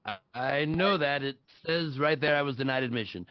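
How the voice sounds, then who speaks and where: A man's voice: medium pitch, moderate pace, no room sound, muffled recording, lightly acted. A man playing an arrogant, petulant older politician in a comic stage script, blunt, indignant and dismissive, at a table read. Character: arrogant, petulant older male politician in afterlife waiting room. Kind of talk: live table read acting.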